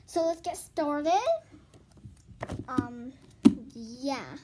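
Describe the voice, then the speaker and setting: A woman's voice: high voice, extremely slow. A female speaker in a casual home read-aloud and vlog, chatting informally with muttered asides to herself. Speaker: host reading a children's book aloud. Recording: noisy home recording with clicks.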